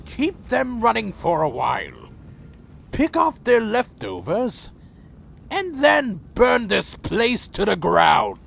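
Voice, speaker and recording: Ancient vampire clan lord for fantasy audio drama: proud, brooding, contemptuous, higher-pitched, very slow delivery. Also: male voice, huge pitch swings, creaky, fairly bright tone, slight background noise, exaggerated voice. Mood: commanding. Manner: slow, theatrical, brooding and menacing. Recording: faint music under the voice.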